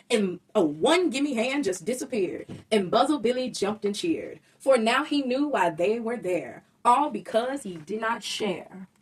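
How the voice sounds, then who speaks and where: A woman's voice: a little high, slightly rough, distant mic. A woman reading a rhyming children's story aloud, expressively and with dramatic emphasis. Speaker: storybook reader.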